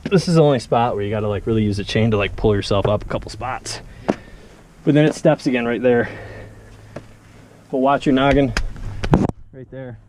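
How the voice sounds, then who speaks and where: A man on a night mountain hike, talking a friend calmly through the climb and joking along the way, recorded on an action camera outdoors. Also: mid-range voice, normal speed, long pauses, a little hiss or hum. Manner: calm, reassuring, joking. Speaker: hiker guiding a friend. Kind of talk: casual chat.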